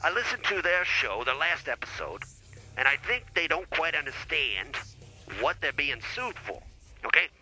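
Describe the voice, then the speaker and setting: A man's voice: fairly high pitch, very animated, normal speed, sharp tone, soft background music, heavily acted, poor audio. A man playing a blustering small-time lawyer in improvised comedy podcast banter, folksy and rambling, stumbling over his words. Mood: blustery advising. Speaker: lawyer character, show guest.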